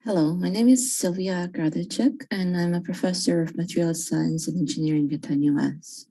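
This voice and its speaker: A woman professor from Croatia giving a measured presentation, with frequent fillers. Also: on the low side, warm tone. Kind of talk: presentation talk.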